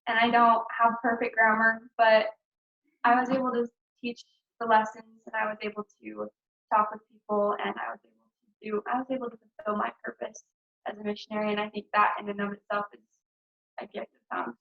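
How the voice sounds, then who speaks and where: A woman's voice: fairly high pitch, level tone, fairly quick, gravelly. A young woman recounting her missionary years in a chatty, casual way, full of ums and likes and light laughs, speaking over a video call. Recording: roomy, dull call audio.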